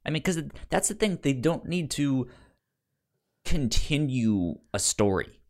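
A man co-hosting a podcast, chatting casually about TV shows in a halting way, often repeating the starts of words. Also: medium pitch, sing-song, darkish tone. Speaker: podcast co-host.

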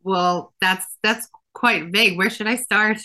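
Bright-voiced woman designer. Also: medium pitch, steady tone, measured pace. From Israel. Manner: thoughtful, many fillers and restarts. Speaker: fashion designer guest. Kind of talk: casual interview answers.

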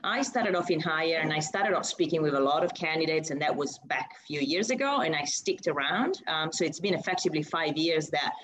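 A woman's voice: low-pitched, lively intonation, fairly quick, few pauses, fairly bright tone, slight background noise, low-quality recording. A young woman with an Italian accent, a recruitment consultant answering interview questions in a chatty way, often restarting her sentences. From Italy. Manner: chatty, with frequent fillers and restarts.

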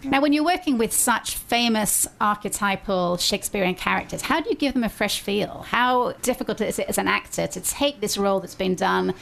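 A woman's voice: mid-range voice, animated, a little fast, vocal fry, few pauses, fairly bright tone. A woman hosting a casual interview chat, asking rambling questions and laughing easily. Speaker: radio show host.

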